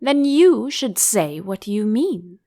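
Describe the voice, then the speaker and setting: A woman's voice: fairly high pitch, sing-song, slow pace, dark tone. A woman voicing a contrary, pedantic hare in a storybook, with a needling manner. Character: rude, contrary male storybook hare at tea party.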